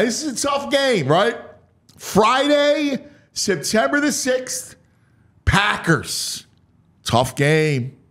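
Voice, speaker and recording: A man's voice: a little high, fairly bright tone. An American man hosting a sports talk show, breaking down a schedule with theatrical, announcer-like proclamations and casual asides. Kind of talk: dramatic, animated schedule breakdown.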